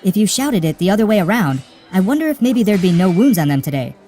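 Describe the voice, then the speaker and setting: A man voicing a curious, sly little boy who talks quickly and confidingly. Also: high voice, big pitch swings, warm tone, faint music behind, voice pushed up.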